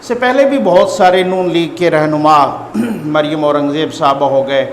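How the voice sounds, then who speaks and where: A man with a Pakistani accent giving informal news commentary to viewers, conversational and repeating phrases for emphasis. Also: fairly high pitch. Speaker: host and political news commentator. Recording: echoey reverberant room, faint background noise.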